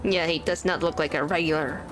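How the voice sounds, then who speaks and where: A woman's voice: low-pitched, fairly even intonation, fairly quick. Informal woman game streamer over music. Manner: reactive, informal. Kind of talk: casual playthrough commentary.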